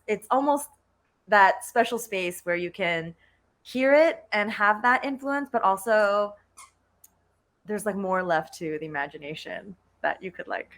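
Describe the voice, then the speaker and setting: Woman, mid-range voice, casual panel talk, chatty, halting, giggly asides, video call. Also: medium pitch, a bit bright. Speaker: playwright panelist.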